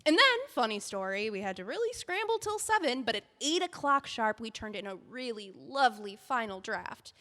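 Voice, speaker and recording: A woman's voice: a little high, very animated, moderate pace, hardly any pauses, sharp tone, big-room sound. A woman playing a pushy, scheming book editor in an audio drama, talking in a bossy, breezy, persuasive way.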